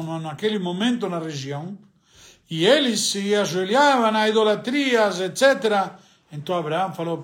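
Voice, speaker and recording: A man with an Argentine accent, a native Spanish speaker, gives a religious lesson, asking and answering his own questions with frequent hesitations. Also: high voice. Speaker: rabbi teaching the weekly Torah portion. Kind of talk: religious teaching talk.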